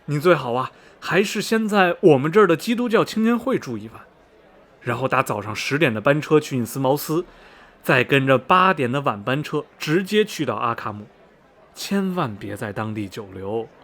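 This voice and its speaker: A man acting in a radio drama as a chatty, superstitious small-town local, telling rumours in a folksy, animated way full of interjections. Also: mid-range voice, moderate pace. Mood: earnest warning. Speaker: actor in an amateur student radio drama.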